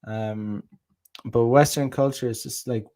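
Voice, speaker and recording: A man's voice: medium pitch, fairly even intonation, unhurried, a little mellow. Rambling Irish man, swearing freely. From Ireland. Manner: rambling, profane, many restarts. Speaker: podcast host. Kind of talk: casual livestream monologue.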